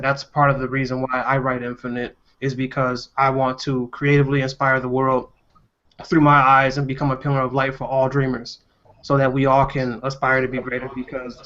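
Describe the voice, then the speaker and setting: A man's voice: medium pitch, fairly bright tone. A man hosting a casual panel discussion, talking in an earnest and playful way. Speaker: host and indie comic writer. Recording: dull, muffled lo-fi audio.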